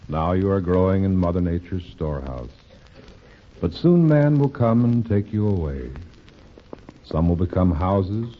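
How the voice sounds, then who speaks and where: A man playing a solemn, wise spirit of the forest, speaking in stately, measured radio drama style. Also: deep voice, exaggerated voice. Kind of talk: 1950s radio drama acting. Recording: dull old radio recording.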